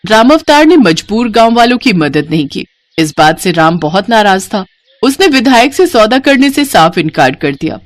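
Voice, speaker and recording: A woman's voice: mid-range voice, sing-song, normal speed. A woman reading scripted narration for a moral bedtime story.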